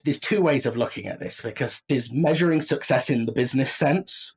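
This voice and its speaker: A man's voice: mid-range voice, fairly quick, husky. A man in a casual interview, self-deprecating and prone to acting out his own thoughts. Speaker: audio drama writer and creator, interview guest. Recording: slightly dull, muffled sound.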